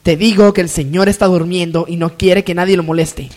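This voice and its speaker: A woman playing a haughty, spiteful lady of the hacienda in a radio soap, sharp-tongued and disdainful. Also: fairly low pitch, steady tone, a little fast, lightly acted. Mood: irritated, scornful. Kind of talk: radionovela melodrama acting.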